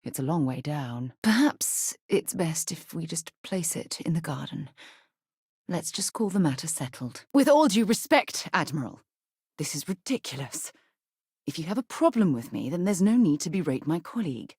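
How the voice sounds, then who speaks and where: Principled female space explorer, low pitch, husky, earnest, articulate, dry close game recording. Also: sing-song, moderate pace, darkish tone, no room sound, exaggerated voice. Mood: firm. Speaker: video game voice actress. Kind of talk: scripted video game companion dialogue.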